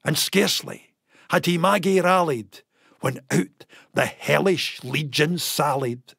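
A man with a Scottish accent giving a theatrical, expressive dramatic recitation of a narrative poem. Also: fairly high pitch, slow, creaky, breathy edge, no echo, clean studio sound. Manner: theatrical, expressive storytelling delivery. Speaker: poetry reader.

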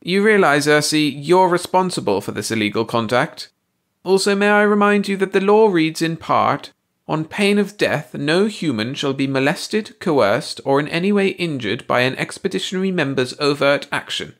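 A man's voice: a little high, lively intonation, moderate pace, a bit bright, pitched above the performer's own voice. Man voicing a smug bald alien, raised piping voice, precise, mocking, lawyerly, storybook figure. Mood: smug, amused.

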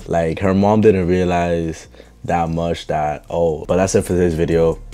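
A man's voice: sits low, steady tone, unhurried, warm tone, soft background music. A young man with a congested, stuffy voice, giving slangy, blunt reaction commentary into a headset mic. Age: young adult. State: congested with a sore throat. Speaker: reaction video host. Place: bedroom studio, indoors.

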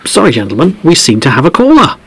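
A man's voice: fairly high pitch, wildly swooping pitch, quick pace, a bit bright, breathy edge, heavily acted. A man playing a chatty, jovial podcast host presenting a show within an audio drama. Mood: brisk, hosting.

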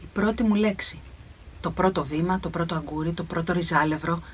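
A woman in her fifties with a husky voice reading dramatic scripted lines into a studio microphone. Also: on the low side. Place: recording studio. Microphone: studio condenser microphone.

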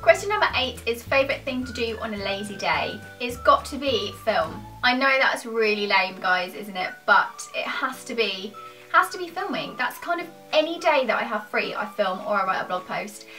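A woman's voice: fairly high pitch, lively intonation, moderate pace, bright, forceful tone, distant mic, faint music behind. A British woman in her twenties chatting casually to camera in an informal, self-deprecating, rambling way. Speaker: YouTuber answering questions to camera. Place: small furnished room at home, indoors.

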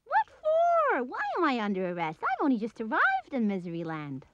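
A performer voicing a cheerful little girl who speaks in a high, bouncy, questioning way. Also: wildly swooping pitch, normal speed, a bit bright, heavily acted. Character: cheerful bright little girl, full of giggles. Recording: dull lo-fi recording.

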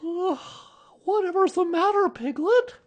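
Narrator doing a high-voiced confident young boy. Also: lively intonation, takes it slowly, muffled recording, exaggerated voice. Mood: curious, assured.

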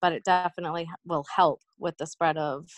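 A woman's voice: deep voice, steady tone, a little fast, dry recording. An American woman speaking informally as a participant in a meeting held by video call.

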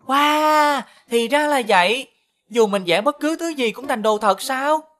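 A playful, grumbling cartoon schoolboy, with a high voice. The delivery is childlike and exaggerated, made for an animated series. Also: female voice, a little slow. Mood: playful, boastful.

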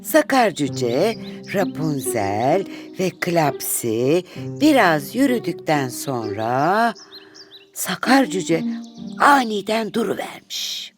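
A woman tells a children's fairy tale at an unhurried pace. Her intonation rises and falls in a lively, expressive way, with prominent music playing behind her. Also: on the low side. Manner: expressive, deliberate. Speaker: storyteller, narrator.

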